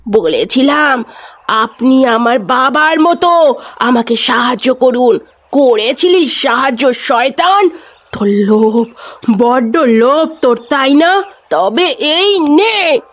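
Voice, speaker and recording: High-pitched vengeful female ghost. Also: expressive, exaggerated voice. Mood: gloating menace. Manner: menacing, repeats phrases with drawn-out emphasis. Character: vengeful ghost of a murdered young bejewelled woman. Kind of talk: dramatised horror audio story.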